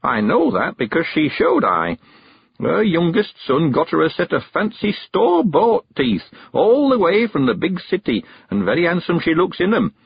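A man's voice: wildly swooping pitch, creaky, breathy edge, dry recording, lo-fi sound, heavily acted. A man reading an audiobook, voicing a cheerful, stubborn ninety-one-year-old countryman with a rustic dialect. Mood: chatty. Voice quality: rustic dialect, quavery and high, drawn-out words.

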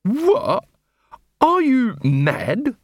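A man's voice: fairly high pitch, big pitch swings, normal speed, no room sound, studio quality, pitched above the performer's own voice. A man playing an irate, bullying watch captain in an audiobook, snarling and indignant.

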